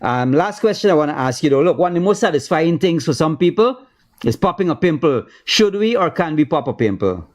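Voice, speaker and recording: A man's voice: a little high, big pitch swings. Male talk show host asking questions. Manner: brief agreeing interjections, brisk questions.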